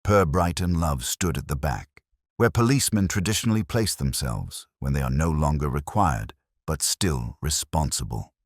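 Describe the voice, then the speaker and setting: A man narrating a detective story in a measured, literary storytelling manner. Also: a bass voice, lively intonation, no room sound, clean studio sound.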